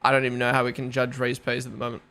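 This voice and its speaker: A man's voice: medium pitch, completely flat delivery, very fast, bright, forceful tone, clear, resonant tone. A young man with a New Zealand accent, rambling casually as a live stream host, full of fillers and swearing. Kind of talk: casual live watch-along chat.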